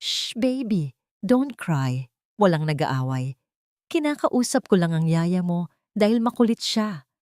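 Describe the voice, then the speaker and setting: A woman's voice: fairly low pitch, very animated, measured pace, pauses often, a little mellow, dry recording, studio quality. A woman voicing a cruel, scheming young daughter-in-law in an audio drama, by turns threatening and sugary. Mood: falsely sweet. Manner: menacing threats, sugary fake tenderness.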